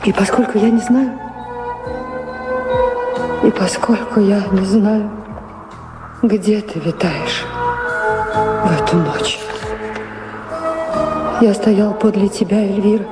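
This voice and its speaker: A woman's voice: mid-range voice, fairly even intonation, slow, pauses often, natural voice. An actress playing an anguished middle-aged noblewoman in a radio drama, speaking lyrically and with emotional weight. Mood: stunned, reading aloud. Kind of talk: theatrical radio drama acting. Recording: echoey hall reverb, music behind, radio play.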